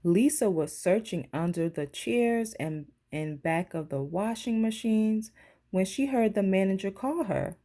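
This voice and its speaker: A woman's voice: mid-range voice, expressive, measured pace, dark tone. A woman reading a children's picture book aloud as a teacher would. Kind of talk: children's storybook narration.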